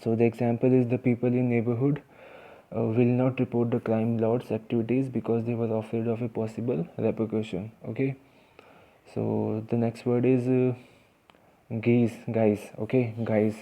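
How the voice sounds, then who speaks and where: A man's voice: medium pitch, little pitch movement, normal speed, dark tone. A man with an Indian accent teaching English vocabulary in a casual, hesitant way, with many fillers and restarts. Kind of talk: informal vocabulary lesson.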